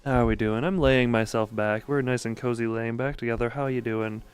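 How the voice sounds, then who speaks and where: A man's voice: mid-range voice, steady tone, fairly quick, clean tone, soft background music. A man on a game livestream, reading dialogue aloud and making casual remarks.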